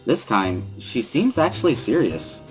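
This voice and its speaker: A man's voice: medium pitch, very animated, a little slow, poor audio. A man giving an amateur first-person dramatic reading of a visual novel as its narrator. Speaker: amateur reader and narrator of a series. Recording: prominent background music under voice. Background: instrumental music.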